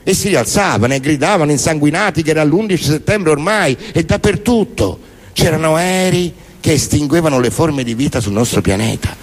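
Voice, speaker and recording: Deadpan man reciting a monologue. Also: a little high, fairly quick. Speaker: stage performer reciting poems and prose monologues. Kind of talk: theatrical spoken-word recitation.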